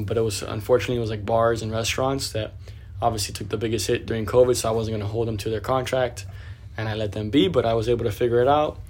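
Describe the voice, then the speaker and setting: A man's voice: mid-range voice, darkish tone. A young man co-hosting a podcast, laid-back and confident, talking in long run-on sentences. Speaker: podcast co-host. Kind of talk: casual podcast chat.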